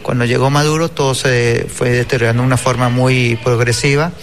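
A man's voice: medium pitch, steady tone, fairly quick. A man with a Venezuelan accent talking about his own life as an interviewee. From Caracas, Venezuela. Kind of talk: personal testimony in an interview. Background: faint music.

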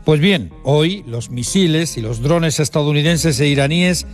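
A man's voice: fairly high pitch, unhurried, soft background music. A man delivering scripted radio commentary on economics in a wry, ironic tone.

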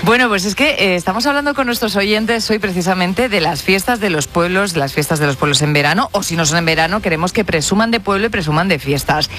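A woman's voice: lowish voice, fast, few pauses, fairly bright tone, soft background music. A woman with an accent from Spain hosting a radio talk show in a conversational style.

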